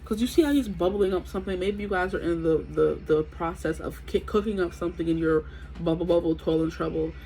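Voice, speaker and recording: A woman's voice: fairly low pitch, a little fast, vocal fry, mellow, a little hiss or hum, rough recording. Stuffy-nosed young woman reading tarot. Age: 20s. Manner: chatty, rambling, many fillers. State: stuffy nose from allergies. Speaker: tarot reader. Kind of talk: casual tarot reading to camera.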